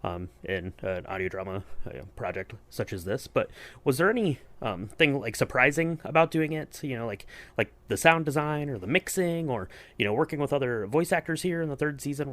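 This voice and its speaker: A man with a mid-pitched voice hosts a podcast in a dry, close recording. His questions come out casually, hesitant and rambling. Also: a bit bright, no echo. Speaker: podcast host.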